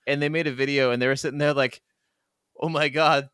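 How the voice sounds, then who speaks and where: A man co-hosting a podcast in enthusiastic casual chat, excitable, slangy and given to swearing. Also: mid-range voice, fairly quick, smooth, dry recording, clean studio sound. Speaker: podcast co-host. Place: remote recording from separate locations.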